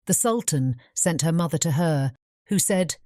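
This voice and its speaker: A woman narrating a fairy tale audiobook in a measured, formal storytelling manner. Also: sits low, animated, darkish tone, no echo, clean studio sound.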